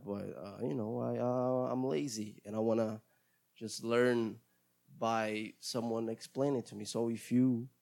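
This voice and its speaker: A Brazilian man with Portuguese as his first language, hosting a casual solo show in accented English, rambling in a halting, self-deprecating way and often repeating words. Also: fairly low pitch, vocal fry, darkish tone.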